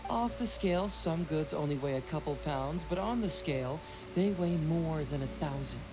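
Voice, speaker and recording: A woman's voice: low-pitched, lively intonation, moderate pace, over music. A woman voicing a young male detective in a video game, confident, composed and teasing.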